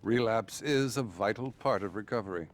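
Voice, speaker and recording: An actor playing a calm, clinical psychiatrist who speaks in a measured, unflappable way, in a video game cutscene. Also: male voice, lowish voice, close to an everyday voice.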